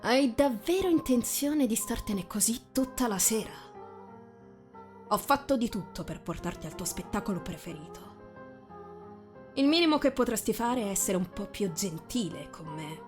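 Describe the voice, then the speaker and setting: A woman's voice: medium pitch, lively intonation, moderate pace, faint music behind, close to an everyday voice. A woman voicing a condescending young aristocratic fiancé, patronising and controlled, in a visual novel reading.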